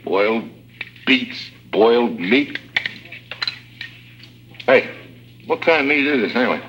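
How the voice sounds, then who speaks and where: A man's voice: medium pitch, expressive, slow pace, muffled recording, noisy background. An actor playing a tough, stubborn American man undercover in a prison camp, speaking in blunt slang in 1950s radio drama style. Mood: disgusted. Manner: blunt, slangy, hard-boiled. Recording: old radio drama with music behind.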